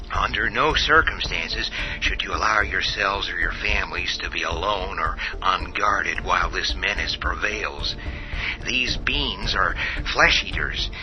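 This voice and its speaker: An actor playing a grave official giving an emergency national address in a radio drama, formal, measured and hesitant. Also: male voice, low-pitched. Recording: dull broadcast with faint music behind.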